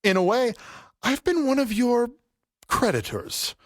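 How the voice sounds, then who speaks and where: An actor playing a vengeful, grieving middle-aged father in an audio play, speaking with deliberate, theatrical menace. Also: extremely high pitch, sing-song, no echo, studio quality, heavily acted. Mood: quietly menacing. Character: vengeful grieving father, a barber settling an old debt.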